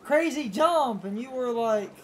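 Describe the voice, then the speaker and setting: A woman's voice: a little high, animated, a little slow, darkish tone. A woman doing casual gaming commentary, explaining things in a rambling, argumentative way over game music. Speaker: let's play co-commentator. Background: video game music.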